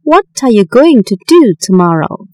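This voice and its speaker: A woman narrating a textbook listening exercise, reading instructions and sentences with slow, careful enunciation. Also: a little high, big pitch swings, darkish tone, no room sound, clean studio sound.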